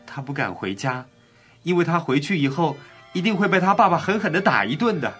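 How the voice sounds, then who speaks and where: A male actor playing a gentle, compassionate, sorrowful young prince in a fairy-tale drama, with an earnest, pleading, tender delivery. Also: a little high, expressive, fairly quick. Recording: faint music bed. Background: faint music.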